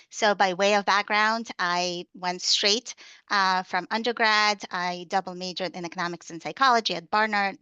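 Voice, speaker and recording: Woman, native Russian speaker, career coach on a panel; bright, crisp tone, dry close sound. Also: mid-range voice, no echo, low-quality recording. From former USSR. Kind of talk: panel self-introduction.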